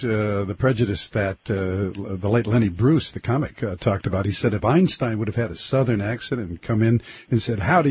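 A man's voice: mid-range voice, slightly rough, no echo, dull audio. A male radio host asking conversational, probing questions. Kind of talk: radio interview.

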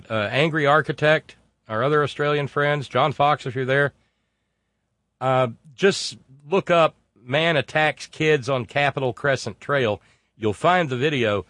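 A man's voice: medium pitch, fairly bright tone, no room sound. A man hosting a casual live show, rambling with many fillers and restarts. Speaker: host. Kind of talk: casual livestream talk.

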